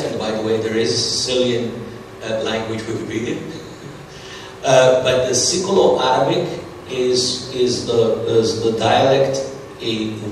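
A man's voice: mid-range voice, a little hiss or hum. A middle-aged man answering questions after his conference talk, hesitant, with many fillers and restarts. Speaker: conference presenter. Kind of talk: conference Q&A discussion. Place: large hall with stage. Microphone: stage mic, recorded from far off. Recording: echoey hall from far off.

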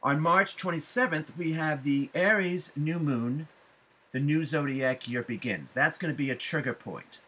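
Bright, forceful man, emphatic. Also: fairly high pitch, husky. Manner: deliberate, emphatic phrasing with frequent pauses. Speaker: astrology forecaster and psychic medium. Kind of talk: spiritual forecast vlog, addressed to viewers. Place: small room at home, indoors.

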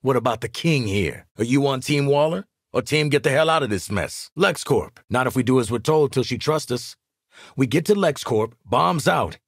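A gruff, sardonic American assassin, husky. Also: male voice, mid-range voice, animated, normal speed, no echo, clean studio sound. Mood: exasperated, sardonic. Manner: blunt, sarcastic, commanding. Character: gruff, sardonic, impatient adult male assassin. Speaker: video game voice actor. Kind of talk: video game character dialogue.

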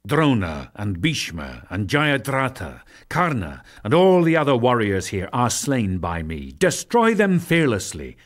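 A man voicing a majestic, commanding male god in a dramatic scripture reading, solemn and measured with weighty pauses. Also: medium pitch, huge pitch swings, pitched below the performer's own voice.

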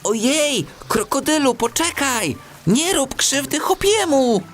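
A man voicing a small, kind, anxious clay toy that exclaims in a childlike, excitable way in a children's storybook. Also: very high voice, takes it slowly, faint music behind, voice pushed up, heavily acted. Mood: alarmed, pleading.

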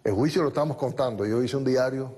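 A man in his fifties, a guest in a studio TV interview, recounting events in steady detail on a lapel mic. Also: medium pitch, quick pace, slightly rough. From born in the United States, raised in Cuba.

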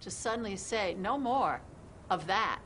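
A woman's voice: medium pitch, animated, unhurried. A woman speaking unscripted as a participant in a group discussion in an indoor meeting room. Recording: noisy room with background ambience.

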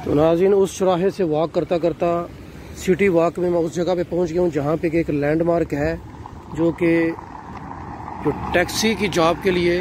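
A middle-aged man narrates a walking tour outdoors, calling out the sights to his viewers as he goes. He speaks into a handheld phone, and his voice sits a little high. Steady city traffic rumbles clearly behind him. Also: normal speed. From Pakistan. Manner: addresses viewers as "nazreen", leisurely describing sights while walking. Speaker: travel vlogger. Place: city streets at night, outdoors. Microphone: handheld phone camera.

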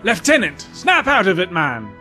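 A man's voice: high-pitched, huge pitch swings, normal speed, sharp tone, faint music behind, exaggerated voice. A man playing an insistent, loyal spaceship crewman in an audio drama, earnest and emphatic. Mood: urgent.

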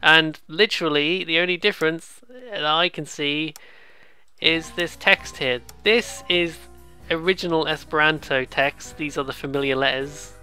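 A bright, forceful voice at a middling pitch gives casual let's play commentary over music. The delivery is rambling and excitable, drifting between reading game text aloud and jokey asides. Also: a little slow. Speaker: let's player.

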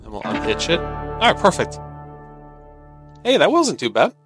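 An American man giving casual let's-play commentary, easygoing and thinking out loud. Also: on the high side, wildly swooping pitch, moderate pace, music in the background. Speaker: gaming video host.

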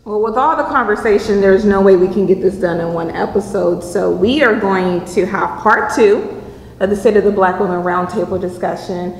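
A woman from San Diego hosts a talk show panel in a large studio room. She speaks in a relaxed, mid-pitched voice, and the room gives her a roomy, echoing reverb. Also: normal speed. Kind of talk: hosting a panel discussion.

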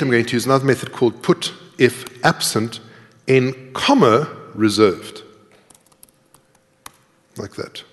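A middle-aged man presents a programming demo with a mid-pitched voice. He talks informally and thinks aloud as he types, with some self-deprecation. The sound carries the long reverb of a lecture hall. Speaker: conference speaker, programmer. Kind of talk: live coding demo talk, explaining while typing. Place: large tiered lecture hall, indoors.

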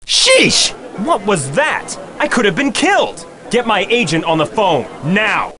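An actor playing a vain, brusque soap opera star in a video game, dramatic and demanding. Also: male voice, high voice, sing-song, measured pace, music in the background. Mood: outraged. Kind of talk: video game character acting. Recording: heavy background noise with clicks.

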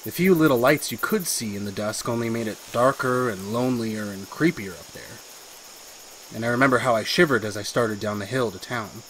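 A man's voice: medium pitch, a little fast, fairly bright tone, lightly acted, low-quality recording. An actor playing a haunted, brooding man who confides like a narrator in a retro radio drama. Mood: brooding confession. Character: haunted husband confessing a murder plan, uneasy academic. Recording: faint background noise.

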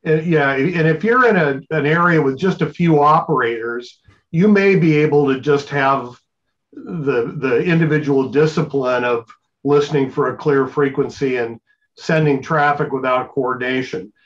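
Older man, higher-pitched, dull call audio. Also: moderate pace. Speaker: radio operator and co-presenter. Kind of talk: casual explanatory talk.

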